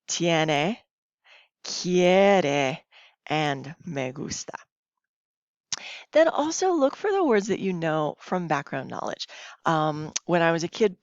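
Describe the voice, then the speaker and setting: Woman teacher going through a slide lesson, takes her time, kinda muffled lo-fi recording. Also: lowish voice. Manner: instructive, checks in with short tag questions. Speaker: Spanish teacher. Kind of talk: recorded classroom lesson, reading slides aloud. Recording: dull lo-fi, roomy home recording.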